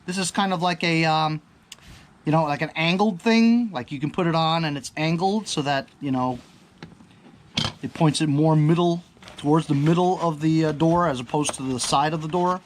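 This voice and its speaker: A man's voice: on the high side, normal speed. Rambling man reviewer with background noise. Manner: rambling, thinking aloud, often checking in with the viewer. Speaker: product reviewer. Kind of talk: casual unscripted unboxing chat. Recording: clear background ambient noise.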